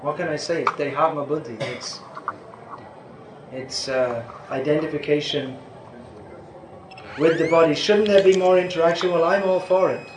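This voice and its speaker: A man's voice: on the high side, moderate pace, pauses often. An older man giving an informal question-and-answer talk as a spiritual teacher, rambling and restarting his sentences, chuckling now and then. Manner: rambling, self-interrupting, chuckles at times. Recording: echoey room with faint background noise.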